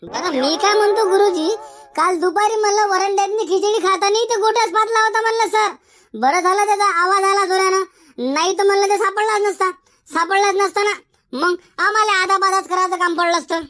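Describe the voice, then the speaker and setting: A man voicing a cheeky, boastful village schoolboy in a comedy cartoon, arguing in a Varhadi Marathi accent through a pitched-up effect. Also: fairly even intonation, fairly quick, sharp tone. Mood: cheeky, eager. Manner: argumentative, indignant, backtalking.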